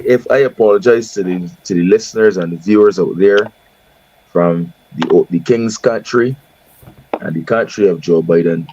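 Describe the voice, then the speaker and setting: A man with a Bajan accent, a podcast guest talking sport in a calm, wry, mock-solemn way. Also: low-pitched, slightly rough, lo-fi sound. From Barbados. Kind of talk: casual sports chat.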